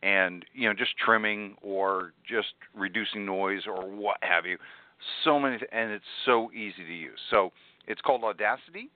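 A man's voice: on the low side, normal speed. A man chatting casually as a podcast co-host, explaining tools and tips in an informal way. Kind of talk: casual tech tips chat. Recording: dry, phone-like narrow-band audio.

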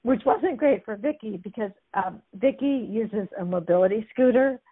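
Woman retelling stories casually, kinda husky, thin phone-ish sound. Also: a little high, animated, normal speed. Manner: chatty, amused, many fillers. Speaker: guest discussing a book. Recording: tinny phone-like line.

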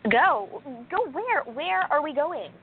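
A woman acts a young lady sleuth in a radio drama, indignant and skeptical. Her voice is fairly high and exclamatory, heard through thin, phone-like audio. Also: sing-song, takes it slowly, full character voice. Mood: worried, questioning. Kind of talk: radio play acting.

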